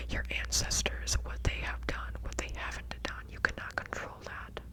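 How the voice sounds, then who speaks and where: Whispering woman, ASMR witch roleplay. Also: poor audio. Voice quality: soft breathy whisper. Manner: gentle, reassuring, checking in with listener. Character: gentle witch tarot reader breaking a curse.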